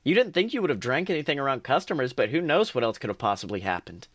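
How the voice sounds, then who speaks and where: A man's voice: medium pitch, big pitch swings, fast talker, a bit bright, no echo. A man co-hosting a podcast, reading second-person fan fiction aloud earnestly, with small stumbles and restarts.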